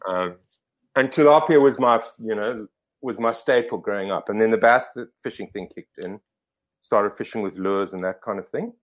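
A middle-aged man from Zimbabwe with a fairly low voice, talking as a guest. He rambles through his stories, correcting himself and filling pauses as he goes. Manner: rambling, self-correcting, lots of fillers. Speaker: podcast guest. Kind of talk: casual storytelling interview.

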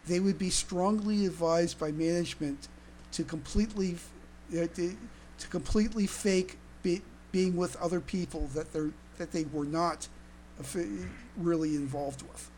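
Halting male podcast host, noisy line. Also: fairly high pitch, fairly even intonation, darkish tone. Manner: halting, repeats words. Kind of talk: casual fan podcast chat.

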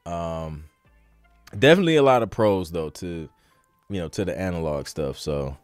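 A man's voice: low-pitched, expressive, moderate pace, soft background music. A man hosting a podcast, talking in an informal, slangy, conversational way as in a live Q&A. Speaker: podcast host. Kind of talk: casual live Q&A chat.

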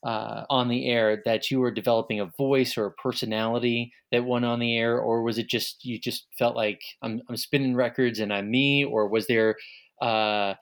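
A man's voice: medium pitch, steady tone, moderate pace. A man conducting a conversational interview, feeling his way through questions and rephrasing them as he goes. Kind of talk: conversational oral history interviewing.

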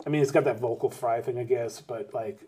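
A man, originally from Massachusetts and raised in California, co-hosting a podcast in quick, swearing, animated casual chat. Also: mid-range voice, a little hiss or hum. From Lowell, Massachusetts, raised in California. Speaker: podcast co-host.

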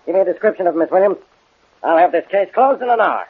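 A man playing a gruff, exasperated police inspector with a sarcastic, irritable manner in a 1940s radio drama. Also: high-pitched, expressive, fast, over music. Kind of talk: 1940s radio detective acting. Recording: narrow, tinny old radio broadcast.

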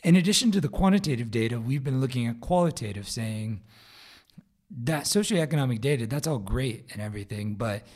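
A man with a raspy, hoarse voice hosting a solo podcast episode, talking casually with fillers and restarts. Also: mid-range voice, expressive, a little fast, darkish tone. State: hoarse from a vocal cord growth. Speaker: podcast host.

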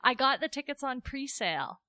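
Bright, giggly young woman. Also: high voice, expressive, a little fast, dry recording. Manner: giggly, upbeat, chatty. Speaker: podcast co-host. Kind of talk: casual chat.

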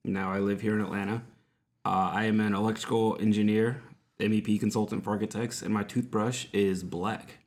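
A man's voice: lowish voice, level tone, fairly quick, a little mellow. A man in his late twenties from Georgia, joking and poking fun at himself in a casual chat with friends. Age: 20s. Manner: joking, self-mocking. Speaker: podcast co-host.

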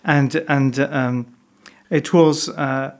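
A man's voice: mid-range voice, fairly even intonation, drawn-out words. A man from France with a French accent, a monk telling stories in an interview, hesitant with many fillers and restarts. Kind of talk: reflective interview storytelling.

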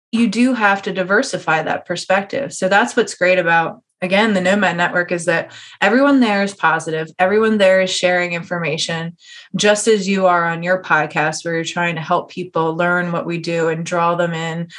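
A woman's voice: lowish voice, a bit bright. An American woman hosting a conversational podcast interview, speaking in an earnest, explanatory and encouraging way. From United States.

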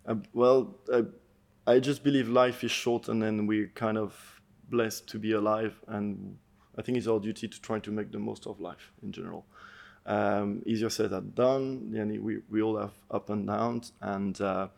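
A middle-aged man speaks in a lowish voice with a gravelly, creaky edge. He talks as an earnest podcast guest. He reflects out loud and pauses now and then to find the right word. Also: steady tone. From France. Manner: earnest, thoughtful, searching for words. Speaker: podcast guest, endurance athlete. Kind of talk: reflective storytelling interview.

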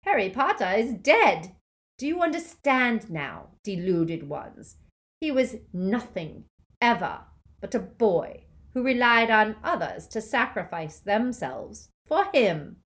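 A woman reading aloud and voicing a cold, gloating male dark wizard with a soft, silky, hissing menace. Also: a little high, big pitch swings, slow pace, pitched above the performer's own voice, full character voice. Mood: triumphant, gloating.

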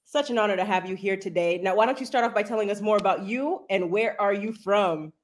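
Woman hosting a podcast, medium pitch. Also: fairly quick. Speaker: podcast host. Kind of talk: interview hosting, introductions and questions.

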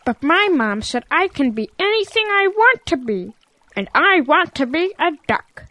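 A woman's voice: extremely high pitch, very animated, measured pace, pushed and bright, dry recording, heavily acted. A woman voicing a naive, eager young boy calf in an audio drama, childlike and pleading.

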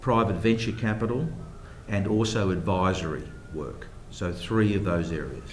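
Deep-voiced New man, echoey room. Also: moderate pace, a little hiss or hum. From New Zealand. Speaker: guest, private equity investor. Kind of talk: radio interview at a banquet. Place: banquet hall.

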